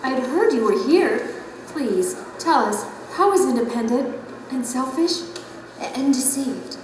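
An actress playing a gentle, concerned woman in an audio drama, anxious and earnest as she speaks. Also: high voice, animated, unhurried. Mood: worried. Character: gentle, concerned woman seeking news of friends. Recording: echoey, distant, noisy ambience.

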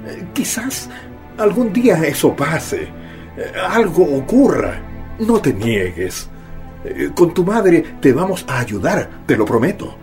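Tender, expressive radio-drama father. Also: a little high, takes it slowly, soft background music. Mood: pleading, emotional. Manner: affectionate, coaxing, emotional. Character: tender, devoted father of a young girl.

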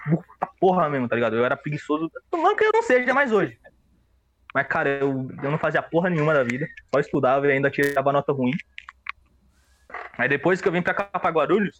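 A man's voice: fairly high pitch, lo-fi sound. A young man from São Paulo with a Paulistano accent, chatting casually and joking with slangy, rambling talk on a livestream podcast. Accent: Paulistano Brazilian Portuguese. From São Paulo, Brazil. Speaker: podcast co-host.

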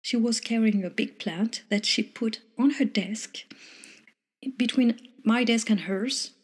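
A woman's voice: on the high side, slow, slightly rough. A woman, an English speaker from Morocco, chatting through a personal story with many restarts. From Morocco, raised French. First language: French. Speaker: vlogger.

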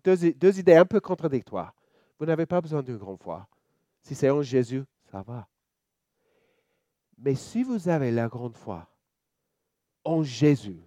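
Slow, mellow Australian man preaching. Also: mid-range voice, no room sound. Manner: relaxed, joking, halting with many fillers and restarts. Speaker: church elder preaching. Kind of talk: sermon.

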